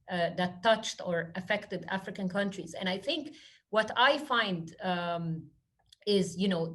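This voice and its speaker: A woman's voice: medium pitch, fairly bright tone. Egyptian woman speaking in a webinar. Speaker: guest speaker, social entrepreneur. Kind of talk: webinar Q&A talk.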